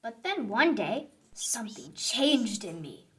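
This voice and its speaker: Woman, children's story narrator, high voice, slow delivery, theatrical, music in the background. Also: very animated, rough recording. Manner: theatrical, emphatic. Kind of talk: expressive picture-book narration.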